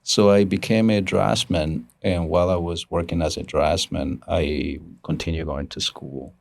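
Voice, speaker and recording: A man's voice: deep voice, steady tone, a little slow, gravelly, no room sound. A man in his fifties from Ecuador, speaking English, telling his story earnestly as an interview guest with restarts and chuckles. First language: Spanish. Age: 50s. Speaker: guest, engineering executive. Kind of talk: casual interview talk.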